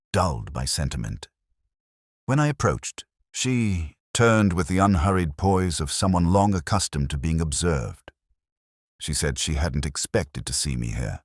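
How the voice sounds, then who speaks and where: A man narrating a first-person detective story in a measured, literary, reflective way. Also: very deep voice, no room sound, clean studio sound.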